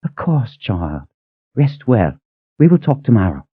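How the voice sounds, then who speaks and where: A man voicing a thin, excitable older woman who runs a palace kitchen, gushing in a high voice. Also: a little slow, slightly rough, dry recording. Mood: fussing. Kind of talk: audiobook character voice. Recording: narrow tinny phone-like sound.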